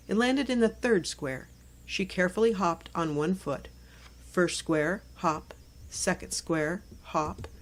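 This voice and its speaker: A woman narrates a scripted storybook for young children. Her voice sits at a middle pitch, and she phrases everything simply so early readers can follow.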